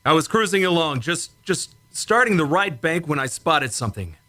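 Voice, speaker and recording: A man acting in an old-time radio drama as a shaken, insistent rocket test pilot, speaking urgently and haltingly. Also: on the high side, very animated, bright, forceful tone, no echo. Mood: breathless, urgent.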